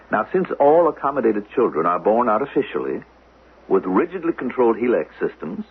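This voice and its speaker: Probing government official, formal and measured, low voice with lively swings, muffled old radio drama. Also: male voice, breathy edge, exaggerated voice. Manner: measured, formal, pointed questioning. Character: probing, smooth-talking government official of a dystopian future. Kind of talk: 1970s radio drama acting.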